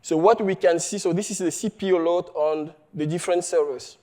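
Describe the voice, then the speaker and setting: A man's voice: high voice, steady tone, darkish tone. Man presenting research. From Cameroon. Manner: halting, many fillers and restarts, checks in with "okay?". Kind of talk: conference talk. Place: conference hall.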